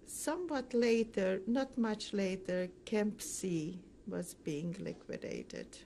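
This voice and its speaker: An older woman recounting her memories as a survivor in an oral history interview, speaking haltingly with frequent pauses mid-sentence. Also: mid-range voice, mellow, slight background noise. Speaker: Holocaust survivor giving testimony.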